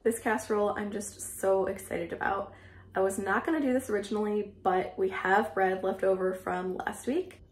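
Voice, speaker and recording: An American woman in her thirties with a creaky voice, chattily narrating her cooking step by step in a casual, informal way. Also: medium pitch, darkish tone. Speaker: home cook vlogger. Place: home kitchen, indoors.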